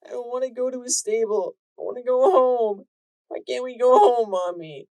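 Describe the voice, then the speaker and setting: A man puts on a tiny filly's voice, pitched far up into a thin squeal. She wails and pleads, tearful and frightened, with a gravelly edge that creeps in underneath. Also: normal speed, darkish tone, dry recording. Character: small frightened filly, crying for her mother.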